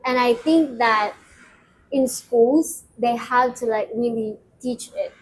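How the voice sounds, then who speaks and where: A woman's voice: on the high side, takes it slowly, a little hiss or hum, rough recording. A young woman from the Philippines co-hosting a casual chat, explaining at length with lots of "um" and "like". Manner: explanatory, with fillers like "um" and "like". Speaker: co-host.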